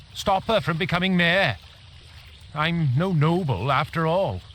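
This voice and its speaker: An actor playing an earnest, sorrowful, lovelorn commoner in a melodramatic fantasy game. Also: male voice, fairly high pitch, sharp tone, slight background noise. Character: wistful, grieving lovelorn commoner man, gentle and earnest.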